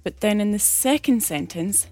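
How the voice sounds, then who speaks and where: A woman explains a grammar rule in a clear, patient way, as if reading a prepared lesson segment. The recording is dry and close, with faint music in the background. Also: medium pitch, animated, normal speed, mellow, dry recording. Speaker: grammar teacher. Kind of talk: scripted explanation. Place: recorded separately from the studio.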